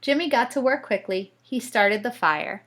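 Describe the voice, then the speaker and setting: A woman narrates a children's bedtime story. Her voice is bright, with lively, animated pitch, in an expressive read-aloud style aimed at young listeners. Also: moderate pace. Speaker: storybook reader.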